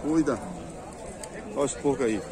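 A man's voice: mid-range voice, moderate pace. A man with a Northeastern Brazilian accent hosting an informal vlog at a livestock fair, talking in short exclamatory catchphrases. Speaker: vlog host. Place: outdoor animal fair yard. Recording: outdoor fair with loud crowd chatter. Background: loud crowd.

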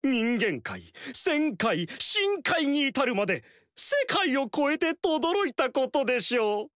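An actor playing a vain male military strategist in a game, boastful and theatrical, with dialogue that swings between loud pride and soft slyness. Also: very high voice, big pitch swings, slow, no echo, studio quality, full character voice. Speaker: game character voice. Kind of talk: Japanese game dialogue acting. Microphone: dry studio mic.

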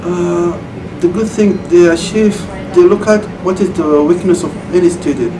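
A man in his thirties with a Saudi Arabian accent, a former student answering interview questions in halting English with frequent restarts, recorded in a computer lab. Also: sits high. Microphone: far camera mic. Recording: distant camera mic, air conditioning hum.

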